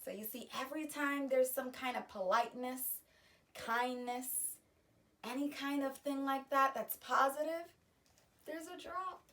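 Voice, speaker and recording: A woman reading a children's picture book aloud, pausing to explain the story to young listeners. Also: sits high, recorded from a distance. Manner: slow, gentle storytime delivery with explanatory asides. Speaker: children's read-aloud host.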